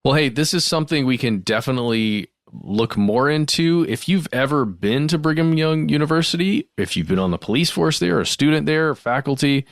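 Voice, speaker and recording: A man's voice: on the high side, lively intonation, brisk, dry recording, studio quality. A man speaking informally and earnestly as a podcast co-host, chatting and reading out listener mail.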